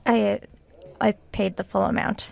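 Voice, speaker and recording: A woman's voice: medium pitch, flat delivery, normal speed, gravelly, a little mellow. A woman acting in an audio drama as an earnest, stubborn author who hesitates and then insists. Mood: defensive, eager. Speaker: actor.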